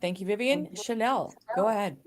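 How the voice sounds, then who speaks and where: A woman's voice: mid-range voice, moderate pace, slight background noise. A woman hosting a live online panel in a casual, informal style. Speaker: panel host.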